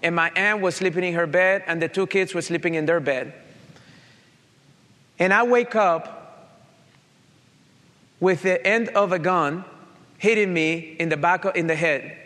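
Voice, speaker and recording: A man with a Colombian accent and a slight Italian touch speaks earnestly to an audience. He tells a personal story in a fairly high voice. The sound carries a long hall reverb. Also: a little slow, long pauses, pushed and bright. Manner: earnest, direct, addresses audience. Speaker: motivational speaker. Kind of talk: motivational talk with personal story.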